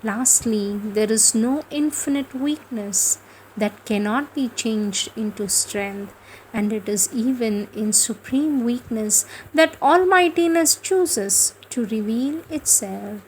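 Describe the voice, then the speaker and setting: A woman reciting spiritual prose devotionally, in a solemn, declamatory manner with measured phrasing and pauses. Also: fairly high pitch, a little mellow, a little hiss or hum, poor audio. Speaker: reader of spiritual writings.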